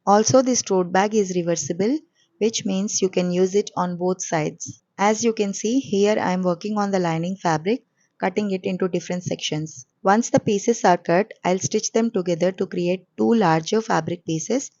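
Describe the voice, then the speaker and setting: A woman hosting a sewing channel, explaining each step calmly in a voice-over, her voice coarse and hoarse from a cold. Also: mid-range voice, moderate pace, no echo, lo-fi sound.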